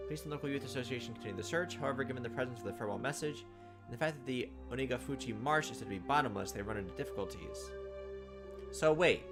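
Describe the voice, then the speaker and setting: A man reading game text aloud as a let's play host, adding informal, playful commentary. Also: mid-range voice. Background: game music.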